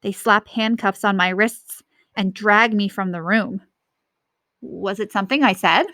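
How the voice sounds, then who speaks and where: A woman reading a children's book aloud as a teacher would, in expressive narration. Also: mid-range voice, measured pace, no room sound, clean studio sound.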